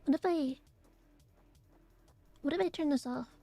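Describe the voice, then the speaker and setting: A young woman streamer chatting casually and rambling with fillers, her voice a little hoarse and raspy from allergies. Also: high-pitched, measured pace, mellow, dry recording, soft background music. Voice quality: raspy, phlegmy throat. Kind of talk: casual chat to stream viewers.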